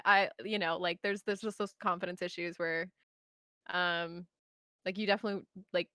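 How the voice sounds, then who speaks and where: A woman in her late twenties, a streamer, talking in a casual panel chat in a halting way with many fillers. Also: lowish voice, pushed and bright, no echo, studio quality. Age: late 20s. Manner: halting, lots of fillers, laughs easily.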